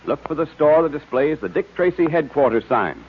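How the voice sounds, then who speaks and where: A man announcing and pitching for a sponsor on 1940s radio in a hearty, emphatic way. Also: animated, fairly quick, very noisy. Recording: muffled vintage radio recording.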